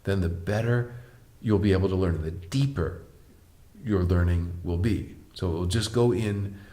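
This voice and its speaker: Deep-voiced man teaching piano. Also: unhurried, dark tone. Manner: patient, explains step by step, asks the viewer questions. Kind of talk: online music lesson.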